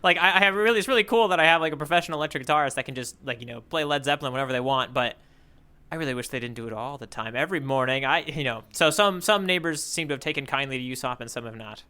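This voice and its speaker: A man's voice: on the high side, animated, rapid-fire, pushed and bright, smooth. A man co-hosting a podcast in casual chat, riffing on tangents and tossing in little sound effects. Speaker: podcast co-host.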